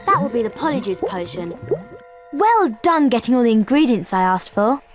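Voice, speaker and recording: A woman's voice: on the high side, big pitch swings, moderate pace, soft background music. A woman playing a clever, bossy schoolgirl witch in a video game, explaining things earnestly like a know-it-all. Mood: matter-of-fact, bossy.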